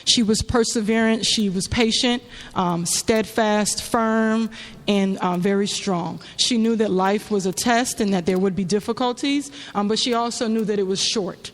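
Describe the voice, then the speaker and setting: A woman giving a semi-prepared religious talk in a halting, reflective way, telling a story with frequent pauses and fillers. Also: mid-range voice. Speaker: speaker giving a religious address. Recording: long hall reverb.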